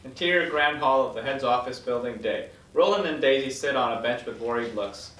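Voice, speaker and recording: A man's voice: mid-range voice, a little fast, fairly bright tone. A man reading screenplay stage directions as scripted narration at a table read. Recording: echoey room from far off, noisy.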